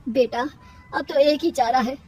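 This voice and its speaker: A woman's voice: high-pitched, a little slow, a little mellow, slightly rough, recorded from a distance. A woman voicing an older village mother-in-law who speaks affectionately and worries about her family. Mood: worried.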